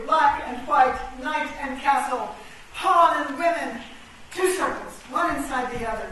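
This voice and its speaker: Woman acting high-voiced theatrical chess queen, echoey stage. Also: bright, forceful tone, exaggerated voice. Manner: theatrical, exclamatory. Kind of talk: live radio play acting. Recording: echoey noisy stage, far from mic.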